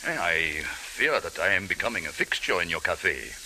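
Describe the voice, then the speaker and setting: Big polite boer wanderer, deep and very crisp-bright, formal, old hissy radio show. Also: male voice, big pitch swings, full character voice, rough recording. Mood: suave, courteous. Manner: polite, formal, measured with hesitant uhs. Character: big, ruddy, poised Boer wanderer, courteous and probing. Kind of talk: 1940s radio acting.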